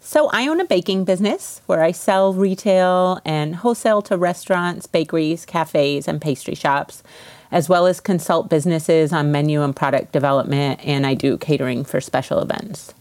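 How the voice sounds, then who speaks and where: Low-voiced woman chatting, dry close sound. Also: normal speed, a little mellow, no echo. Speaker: interview guest, baker and business owner. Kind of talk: casual conversational storytelling.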